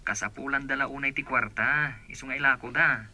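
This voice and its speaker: Man acting a bright, eager village man. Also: mid-range voice, a little fast. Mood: eager, reassuring. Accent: Ilocano. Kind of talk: Ilocano radio drama acting.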